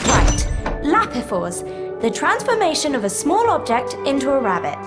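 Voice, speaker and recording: Earnest schoolgirl witch for a game: high, lively, eager. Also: moderate pace, loud music behind the voice. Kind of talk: video game voice acting.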